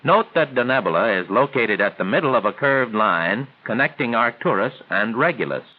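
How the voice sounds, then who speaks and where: 1940s training film narrator, tinny old soundtrack. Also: male voice, mid-range voice, lively intonation. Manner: formal, didactic, measured phrasing. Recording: thin, band-limited 1940s film soundtrack.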